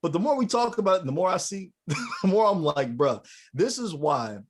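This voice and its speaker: A man's voice: sits high, sing-song, normal speed. A man co-hosting a casual faith-based talk show who talks in slangy, emphatic bursts and laughs mid-sentence. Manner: slangy and emphatic, keeps asking "you know what I'm saying?"; laughs while talking. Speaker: podcast co-host.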